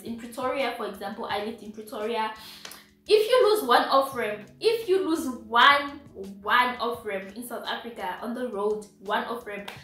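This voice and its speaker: Chatty animated woman vlogger in an echoey room. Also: a little high, far from the mic. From Zimbabwe. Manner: chatty, animated, slangy asides. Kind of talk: casual vlog chat to camera.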